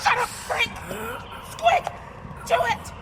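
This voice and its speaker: An actress playing an excitable young woman, urgent and exclamatory. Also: extremely high pitch, slow. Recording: loud background noise.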